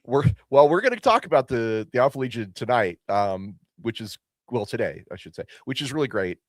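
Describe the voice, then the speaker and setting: A man co-hosting a casual podcast, reading viewer messages aloud and reacting with excited exclamations and frequent laughter. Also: medium pitch, brisk, no echo. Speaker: podcast co-host.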